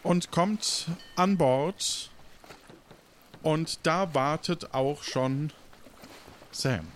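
A man narrating an improvised story as game master, deliberate and given to dramatic pauses. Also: fairly high pitch, slight background noise.

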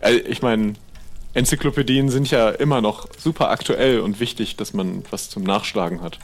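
A man's voice: mid-range voice, normal speed. A man speaking casually and politely, a little tentative, as a player improvising in a role-play.

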